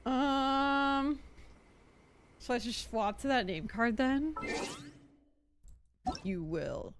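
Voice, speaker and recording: A woman's voice: sits high, extremely slow, a little hiss or hum. A young woman chatting casually and informally as she streams a video game, rambling and giggly. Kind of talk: casual livestream chat and game reactions.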